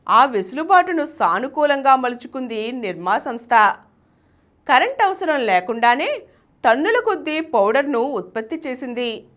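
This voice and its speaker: A woman narrating a scripted story as a show host, in an expressive, emphatic storyteller's delivery. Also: sits high. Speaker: host and reader of a business success story show.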